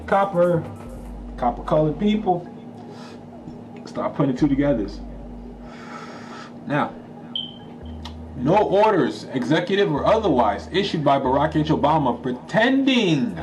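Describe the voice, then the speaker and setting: A man's voice: on the high side, a little mellow, rough recording. A man from Haiti, a Creole speaker, reading a document aloud and breaking off to explain it in casual commentary, checking in with listeners. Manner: emphatic, checks in with listeners, slangy asides. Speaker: channel host.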